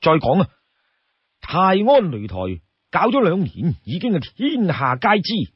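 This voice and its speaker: A male storyteller plays a proud young outlaw fighter in a heroic tale. The voice is raised and very animated, earnest and spirited. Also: fairly high pitch, unhurried, husky, no echo, highs cut off, exaggerated voice. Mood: indignant, urging.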